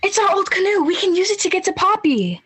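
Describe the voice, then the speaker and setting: A woman's voice: very high-pitched, big pitch swings, fast talker, a bit bright, full character voice. A young woman playing an earnest, slightly exasperated young adult searching for a lost niece in an amateur radio play. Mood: excited.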